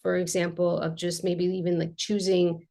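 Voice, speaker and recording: A woman talks about her work as an art therapist in a relaxed interview, chatty and full of casual fillers. Her voice sits low with a gravelly, creaky edge. Her delivery is loose and conversational. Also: moderate pace. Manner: chatty, explains with many "like" fillers.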